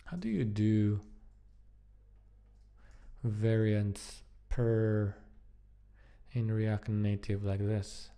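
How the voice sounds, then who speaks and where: Man, low creaky voice, mellow tone, halting muttered programmer commentary, casual. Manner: halting, muttering to himself while working. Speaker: programmer live-streaming a coding project. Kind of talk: casual live-coding commentary, thinking aloud.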